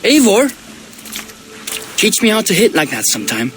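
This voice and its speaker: An actor playing a cocky, hot-headed young Viking prince with a brash manner in a video game. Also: male voice, sits high, huge pitch swings, normal speed, a bit bright, soft background music, full character voice. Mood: earnest. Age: young adult. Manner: taunting, brash. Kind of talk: dramatic video game cutscene acting.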